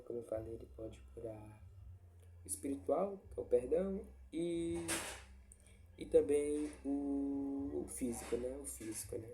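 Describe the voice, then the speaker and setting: Man, stuffy head cold, very dark mellow tone; casual, halting devotional talk; faint noise behind. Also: medium pitch. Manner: halting, many restarts and tag questions. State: congested with a cold. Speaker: lay preacher sharing a Bible reflection.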